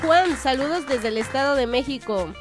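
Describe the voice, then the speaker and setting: Mexican woman, radio co-host, high voice, chatty, reads listener greetings, music in the background. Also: animated, normal speed. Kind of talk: reading listener messages on radio.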